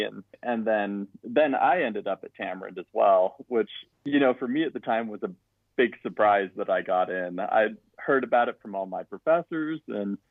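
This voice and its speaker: A man's voice: lowish voice, no echo. A man from Utah, an education director, chatting casually and friendly in an interview, laughing easily. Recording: tinny phone-like dry audio.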